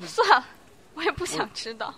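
A woman's voice: sits high, very animated, moderate pace, vocal fry, fairly bright tone, full character voice. An actress playing a heartbroken, jealous young girlfriend in a melodramatic amateur radio drama, wounded and accusing. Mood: hurt, suspicious. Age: young adult.

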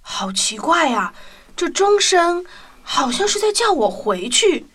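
A woman's voice: extremely high pitch, sing-song, takes it slowly, a bit bright, pitched above the performer's own voice, full character voice. A woman voicing an earnest, hopeful young boy in a children's radio play, exclamatory and wide-eyed. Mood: puzzled.

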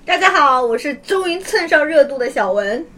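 A woman's voice: high-pitched, expressive, normal speed, bright, forceful tone, a little hiss or hum. A woman chatting casually as a podcast panelist, throwing in questions in a conversational way. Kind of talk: lively casual chat.

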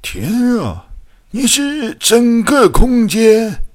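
A man's voice: very high-pitched, big pitch swings, extremely slow, pitched above the performer's own voice, heavily acted. A man voicing an eccentric, awestruck mad scientist in an audiobook, exclaiming gleefully. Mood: ecstatic amazement.

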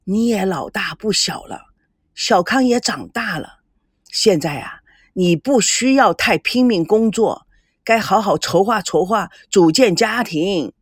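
A woman's voice: medium pitch, lively intonation, a little slow, breathy edge, dry recording, studio quality. A woman voicing a stern, caring elderly father in an audiobook, grumbling, sighing and admonishing. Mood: earnest admonishing.

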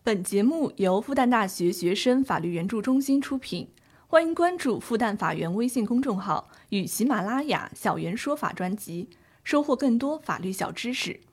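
A woman's voice: on the high side, animated, normal speed, breathy edge. A woman reading a scripted closing announcement as a program announcer.

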